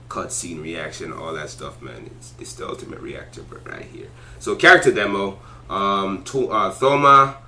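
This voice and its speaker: A man's voice: sits low, pushed and bright, a little hiss or hum. A young man giving casual, slangy reaction commentary as a video host. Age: young adult. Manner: slangy, says "man" often. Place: small room indoors.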